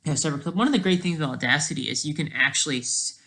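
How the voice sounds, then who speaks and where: A person thinking aloud in casual, rambling, digressive commentary as a video creator, with a creaky voice. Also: mid-range voice, very fast, sharp tone.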